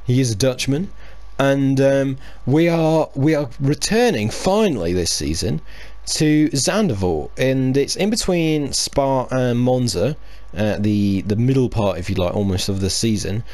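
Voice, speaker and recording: A man's voice: mid-range voice, expressive, unhurried, few pauses, darkish tone, dry recording. A man hosting a casual sports podcast, chatty and hesitant with lots of fillers and restarts. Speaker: podcast host. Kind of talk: casual chat.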